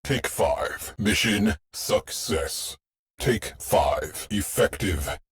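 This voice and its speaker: A man voicing a terse, dry shipboard AI tactician in a video game, speaking in clipped, deadpan military phrases. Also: rumbling low, drawn-out words, heavily acted. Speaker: mission control voice. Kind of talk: scripted game voice lines.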